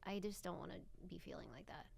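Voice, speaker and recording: A woman's voice: lowish voice, lively intonation, fast, vocal fry, breathy edge. A young American woman in casual chat as a guest, rambling and often qualifying what she says. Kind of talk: casual chat between friends.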